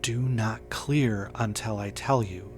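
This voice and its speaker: A man's voice: lowish voice, slow pace, a little hiss or hum. A man reading the part of a businesslike female police dispatch supervisor, giving clipped procedural commands in an audio story. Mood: clipped, firm.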